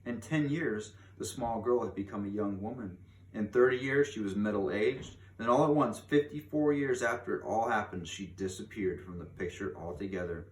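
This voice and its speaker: A man voicing a very old, grave and insistent grandmother in a storybook, with emphatic, deliberate delivery. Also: on the low side, lightly acted. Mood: mysterious. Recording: echoey room, distant mic, faint noise.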